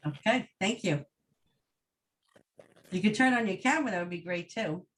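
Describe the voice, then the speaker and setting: A woman of middle pitch hosts a group video call in a friendly, encouraging way. She keeps things moving and invites others to speak. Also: animated, moderate pace, fairly bright tone. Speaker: group moderator.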